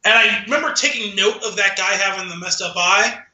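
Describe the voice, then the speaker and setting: A man's voice: high-pitched, a little fast, pushed and bright. A man co-hosting a podcast, chatting casually about a film in a rambling, conversational way. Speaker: podcast co-host. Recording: echoey room.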